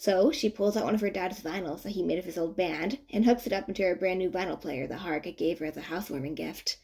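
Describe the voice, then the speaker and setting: Expressive woman narrating a story. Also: on the low side, fast talker, gravelly, husky. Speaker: audiobook narrator of fan fiction.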